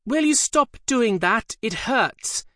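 A male narrator voicing a petulant female demon that speaks through a dying dog, with a clear piping voice and a literal, pedantic manner. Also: very high-pitched, animated, slow, sharp tone, dry recording, clean studio sound, pitched above the performer's own voice, heavily acted.

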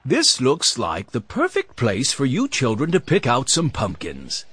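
Man acting older grandfather, kindly, calm, measured delivery, slightly husky, dry close sound, storybook. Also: mid-range voice, dry recording, full character voice. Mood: genial. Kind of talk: children's audiobook character voice.

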